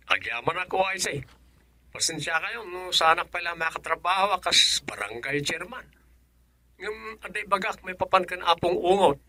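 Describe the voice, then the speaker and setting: A caring, exasperated young Ilocano fellow in a radio comedy serial. His voice sits fairly high and sounds close and dry, with an Ilocano accent. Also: lively intonation, normal speed, bright, forceful tone, no echo, heavily acted. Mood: subdued, explaining. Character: exasperated, caring younger man tending a senile elder. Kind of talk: Ilocano radio drama acting.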